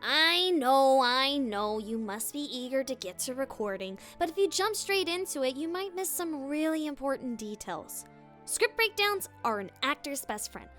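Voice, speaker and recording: A woman's voice: sits high, sing-song, normal speed, fairly bright tone, soft background music. A woman hosting a scripted, playful tutorial as a voice actor, with theatrical emphasis. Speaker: voice actor and video host.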